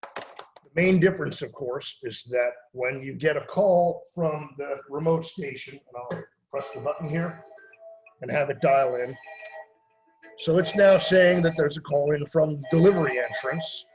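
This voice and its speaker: A man's voice: on the high side, measured pace, music in the background, rough recording. A man giving a casual product demonstration as an expert, conversational and plain-spoken.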